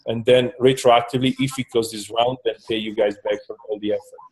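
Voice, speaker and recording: A man's voice: mid-range voice, fairly even intonation, brisk, darkish tone, low-quality recording. A man from Switzerland, a startup co-founder, talking through a partnership in a business meeting, hesitant with many fillers and restarts.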